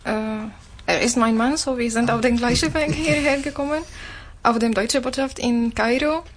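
A woman's voice: a little high, moderate pace, a little hiss or hum. A woman from Syria with an Arabic accent, answering as an interview guest in non-native phrasing. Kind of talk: personal talk in a radio interview.

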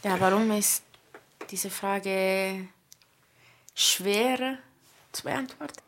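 Slow, hesitant woman interviewer. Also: medium pitch, a bit bright. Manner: hesitant, many fillers. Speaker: radio interviewer. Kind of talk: radio interview.

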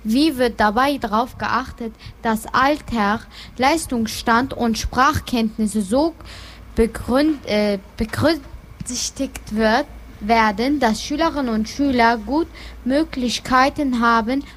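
A woman interviewer reading out her questions carefully and haltingly. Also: fairly high pitch, a bit bright, slight background noise, rough recording.

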